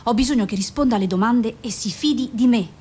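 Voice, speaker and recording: An actress playing a careful, patient doctor in a radio drama, measured and reassuring, sometimes hesitant and apologetic. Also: female voice, mellow, breathy edge. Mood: calm, reassuring. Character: careful, patient female doctor questioning a mother. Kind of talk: naturalistic radio drama acting. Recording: noisy recording with low rumble.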